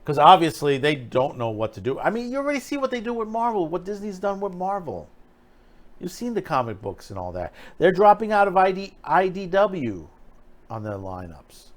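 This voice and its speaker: A man's voice: high voice, huge pitch swings. A man giving opinionated, off-the-cuff pop culture commentary as a video host, emphatic and critical, often repeating himself and restarting phrases. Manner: halting, repetitive, restarting phrases mid-thought.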